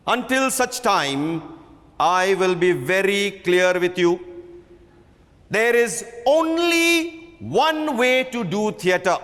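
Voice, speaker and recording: A man playing an earnest, imperious middle-aged theatre director in a dramatic reading, with deliberate, emphatic oratory. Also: high-pitched, a bit bright, exaggerated voice. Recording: reverberant hall.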